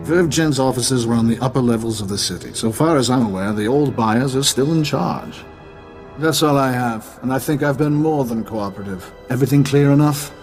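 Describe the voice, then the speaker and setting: An actor playing a shady retired tycoon in a video game, guarded, brusque and condescending. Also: male voice, medium pitch, fairly quick, darkish tone, lightly acted. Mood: cagey. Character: wary, dismissive, shady retired male tycoon. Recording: faint game music behind the voice.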